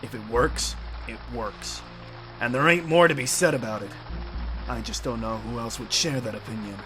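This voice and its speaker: A man's voice: mid-range voice, normal speed, sharp tone, close to an everyday voice, rough recording. An actor playing a shrewd, folksy, grizzled leader of vampire-hunting outlaws in a fantasy audio drama, needling as he talks. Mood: blunt, skeptical. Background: music.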